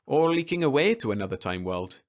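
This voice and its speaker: A man's voice: medium pitch, sing-song, fairly quick, pitched above the performer's own voice, natural voice. A man voicing a sandy-haired, cautious explainer in a story, speaking in a careful academic manner. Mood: measured, cautious.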